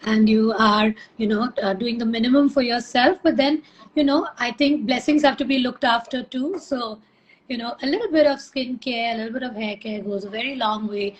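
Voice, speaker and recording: High-voiced woman guest on a video call. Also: moderate pace, muffled recording, a little hiss or hum. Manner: warm, complimentary, filler-heavy. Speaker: dermatologist guest. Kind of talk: casual video-call interview.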